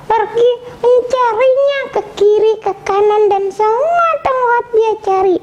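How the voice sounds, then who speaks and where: A young girl telling a simple story in a halting, sing-song way. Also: very high voice, a little mellow. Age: child. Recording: dull lo-fi sound, faint noise.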